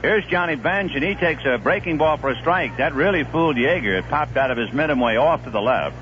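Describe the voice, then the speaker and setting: A man calling a baseball game live on the radio, swinging from calm analysis between pitches to rising excitement on big plays, in a 1970s broadcast. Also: a little fast, lo-fi sound, slight background noise. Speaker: play-by-play announcer. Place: stadium broadcast booth.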